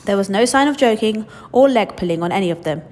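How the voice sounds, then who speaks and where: A woman's voice: mid-range voice, lively intonation, fast, a little mellow, long reverb tail. A woman reading a children's story aloud expressively, as a teacher hosting a read-aloud.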